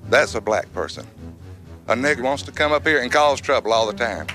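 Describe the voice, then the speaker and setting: A man in his forties from Atlanta speaking haltingly into a handheld mic in a TV studio audience, heard from an old broadcast. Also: medium pitch, animated, music in the background. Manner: halting, hesitant. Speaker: talk show audience member. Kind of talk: archival talk show exchange.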